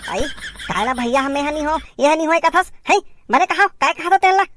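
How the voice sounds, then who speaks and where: A woman's voice: sits high, lively intonation, moderate pace, a bit bright, dry recording, studio quality. A woman voicing a strict, nagging village parent in a comic cartoon, with a Chhattisgarh accent and an exasperated, questioning delivery. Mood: suspicious, nagging.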